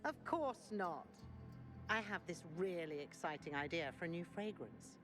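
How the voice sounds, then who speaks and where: A woman acting as an eccentric middle-aged perfumer in a game. Her pitch swings freely as she savours each word with dreamy, theatrical grandeur, music playing clearly behind her. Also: animated, moderate pace, close to an everyday voice. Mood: enthusiastic. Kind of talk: video game cutscene acting.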